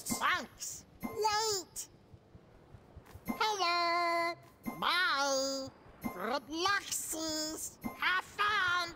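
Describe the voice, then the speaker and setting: A woman's voice: high voice, full character voice. A woman voicing a cute, childlike little monster in a video game, talking in gleefully menacing baby-talk. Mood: playful. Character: mischievous cute little childlike nightmare monster, playfully spooky. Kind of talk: video game character voice lines. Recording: game music behind the voice. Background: music.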